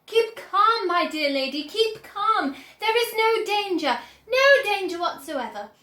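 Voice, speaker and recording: A woman's voice: very high-pitched, sing-song, a little slow, sharp tone, echoey room, pitched above the performer's own voice, full character voice. A woman reading aloud, voicing an eccentric, theatrical male candy maker who talks in giddy, soothing flourishes.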